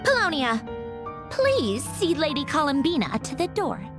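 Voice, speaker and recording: Haughty, sarcastic young lady with swooping pitch. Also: huge pitch swings, unhurried, over music, heavily acted. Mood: irritated, sarcastic. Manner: sarcastic, dismissive, theatrical. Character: haughty, sarcastic, easily irritated young woman inventor. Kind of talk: anime-style video game acting.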